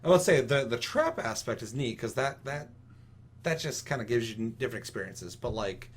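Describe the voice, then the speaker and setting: Male podcast host, husky mid-range voice, loose profane conversational delivery about video games, blunt. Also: medium pitch, very animated, moderate pace. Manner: blunt, heavy swearing.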